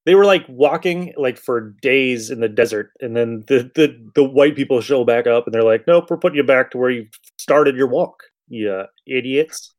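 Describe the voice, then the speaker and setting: A man with a mid-pitched voice talks as a podcast host in a casual discussion. He is blunt and irreverent, speaking off the cuff and swearing freely. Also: moderate pace, hardly any pauses. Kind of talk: casual chat, discussing an old radio show.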